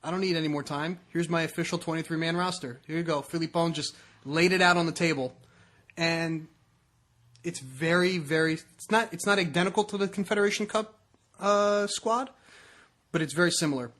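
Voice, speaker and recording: Male podcast co-host, slightly high-pitched, conversational soccer chat, circles back over his own phrases. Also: fairly quick, a bit bright. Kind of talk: casual soccer discussion.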